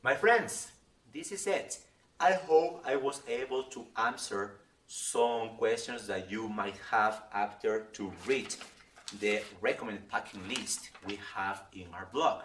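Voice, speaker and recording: A man's voice: lowish voice, takes it slowly, a bit bright. A middle-aged man from Ecuador, a native Spanish speaker with an Ecuadorian accent, giving friendly travel-packing advice to camera and often checking in with "okay?". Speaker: travel guide. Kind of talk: casual explainer to camera. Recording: roomy sound from a distance, faint ambient noise.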